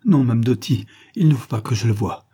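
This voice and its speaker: A man's voice: on the low side, moderate pace, warm tone, slightly rough, no room sound. A man voicing a heartbroken, resolute young woman, emphatic and pleading, in a melodramatic storybook reading. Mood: anguished.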